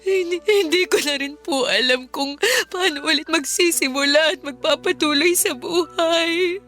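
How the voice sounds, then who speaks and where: A high-pitched, weeping, desperate mother. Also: moderate pace, fairly bright tone, soft background music. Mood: tearful despair. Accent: Filipino. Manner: tearful, pleading. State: crying. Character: grieving, desperate mother pleading with an elder. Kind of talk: Filipino radio drama acting.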